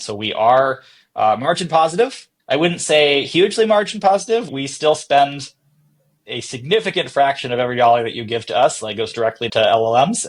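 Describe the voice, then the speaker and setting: A man being interviewed as a startup boss, talking informally and explaining his thinking with examples and questions he poses himself. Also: medium pitch. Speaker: tech startup CEO, interview guest. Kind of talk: conversational podcast interview.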